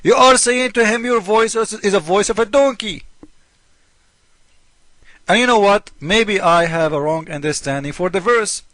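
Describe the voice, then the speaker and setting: A high-pitched man with non-native English delivers informal religious polemic in a dry, close sound. He is mocking and theatrical, repeating his points and playing both sides of an argument. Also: normal speed, a bit bright, dry recording. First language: not English. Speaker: host of a religious polemic video. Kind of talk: informal polemical commentary on scripture.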